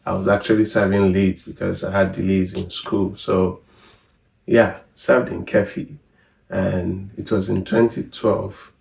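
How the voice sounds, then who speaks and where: A man in his thirties with a Nigerian accent, chatting in a relaxed, rambling way on a family vlog. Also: low voice, measured pace, gravelly. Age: 30s. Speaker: vlogger. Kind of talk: casual chat. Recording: echoey room from far off.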